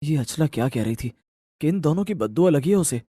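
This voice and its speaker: A man's voice: fairly high pitch, expressive, brisk, mellow, husky, dry recording, studio quality. Man acting an earnest, emotional husband. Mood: puzzled. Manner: earnest, questioning. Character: emotional adult husband, shocked and longing father.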